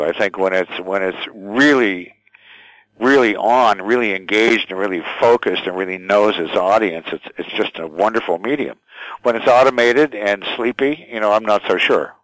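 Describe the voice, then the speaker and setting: A man in his sixties with a very low-pitched voice talks in a reflective, conversational interview style. He pauses and restarts as he thinks through his answers. The recording is dry and close, with almost no room sound. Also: a bit bright, dry recording, low-quality recording. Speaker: radio station general manager, interview guest.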